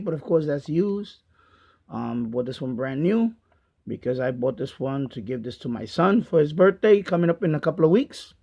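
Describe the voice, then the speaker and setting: A man giving a casual hands-on product review in a halting way, repeating himself as he goes. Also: fairly high pitch, darkish tone. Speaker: gadget reviewer and radio hobbyist. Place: basement of a house. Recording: dull lo-fi home video sound.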